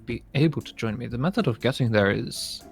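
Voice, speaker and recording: A man playing a dry, skeptical demigod camper in improvised Minecraft roleplay, speaking in a hesitant, deadpan way. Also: medium pitch, fairly quick, vocal fry, soft background music, lightly acted.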